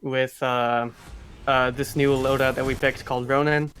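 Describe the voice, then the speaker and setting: A man's voice: mid-range voice, little pitch movement, measured pace, fairly bright tone, slight background noise. A man giving casual, joking live commentary on a speedrun at a gaming event. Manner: joking, playful banter.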